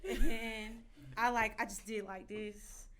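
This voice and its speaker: A young woman co-hosting a podcast, telling quick, chatty stories about her mom. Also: medium pitch, little pitch movement, a bit bright, a little hiss or hum. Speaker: podcast co-host. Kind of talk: casual chat among friends.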